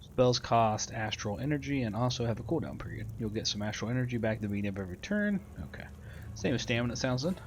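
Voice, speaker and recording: A man's voice: mid-range voice, expressive, fast, a little hiss or hum. A man giving casual, matter-of-fact gameplay commentary and reading tutorial text aloud as he plays. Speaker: gamer doing a playthrough.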